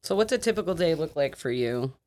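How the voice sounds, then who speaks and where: A woman's voice: low voice, animated, fairly quick, a little mellow. A woman co-hosting a casual podcast, asking questions with dry joking asides. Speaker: podcast co-host.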